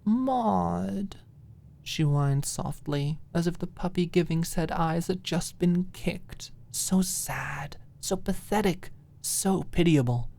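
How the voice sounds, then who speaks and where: A woman narrating scripted fiction as an ASMR audiobook, with expressive, dramatic storytelling. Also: sits low, takes it slowly, few pauses.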